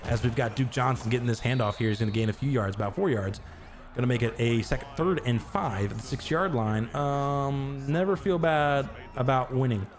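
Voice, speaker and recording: A man doing live play-by-play commentary on a video game stream, getting loud on big plays and chatting with viewers between them. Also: normal speed. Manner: excitable, jumps to high shouts on big plays.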